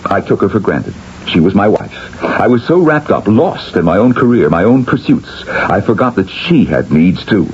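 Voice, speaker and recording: An actor playing a mild-mannered, contrite middle-aged husband, earnest and theatrical, in an old-time radio drama. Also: male voice, lowish voice, big pitch swings, moderate pace, hardly any pauses. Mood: remorseful, earnest. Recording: dull, hissy old radio recording.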